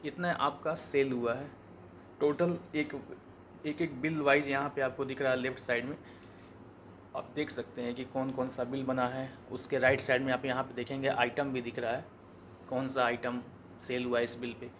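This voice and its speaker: Indian man, mid-pitched voice, informal tutorial delivery, explanatory, faint background noise. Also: little pitch movement, moderate pace, a bit bright. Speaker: software demonstrator. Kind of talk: informal step-by-step software tutorial.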